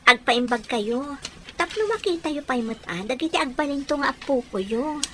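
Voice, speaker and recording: A woman's voice: high-pitched, animated, moderate pace, a little hiss or hum. A woman with an Ilocano accent playing an insistent, caring young fiancée in a radio drama.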